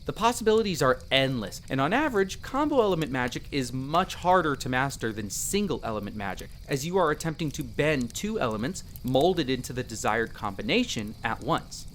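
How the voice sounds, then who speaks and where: A man's voice: mid-range voice, big pitch swings, a little fast, hardly any pauses, a bit bright, a little hiss or hum. A man voicing a friendly, eager young elf explorer in a roleplay audio drama, chatting playfully and explaining patiently to the listener. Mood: reassuring, playful. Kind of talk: in-character conversational roleplay addressed to the listener.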